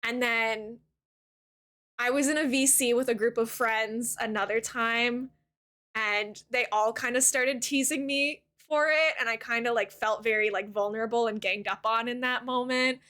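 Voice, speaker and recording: A woman in her thirties with a high-pitched voice, chatting casually with her viewers as a streamer and rambling with lots of "like" fillers. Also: steady tone, a little slow. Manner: warm toward chat, thanks new followers. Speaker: Twitch streamer. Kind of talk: casual live-stream chat while playing a game.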